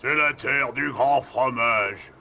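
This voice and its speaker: An actor voicing a gruff, grumbling, mutinous crewman in a cartoon, with a gravelly voice. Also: mid-range voice, slow pace, sharp tone, slight background noise, heavily acted. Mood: grumbling, rebellious. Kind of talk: cartoon dub acting.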